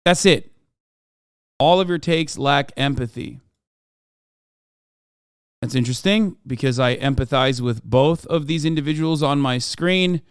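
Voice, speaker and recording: A man's voice: medium pitch, a little slow, long pauses, smooth, no room sound, studio quality. A man from Turkey, in his thirties, giving heated, profane reaction commentary as a streamer, lecturing and emphatic.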